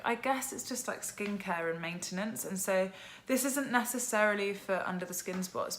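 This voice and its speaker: Croaky young woman chatting about skincare. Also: mid-range voice, a bit bright, slight background noise. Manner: chatty, lots of "like" and "um". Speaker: skincare vlogger. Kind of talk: casual product chat to camera.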